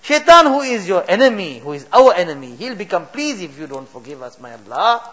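A man's voice: high-pitched, big pitch swings, brisk, sharp tone, highs cut off. A man leading an emotional supplication in a mosque, pleading and rising to impassioned cries.

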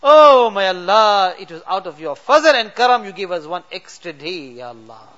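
A man's voice: sits high, very animated, normal speed, bright, forceful tone. A man leading a fervent late-night supplication as an imam, pleading with constant repeated refrains. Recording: dull lo-fi recording.

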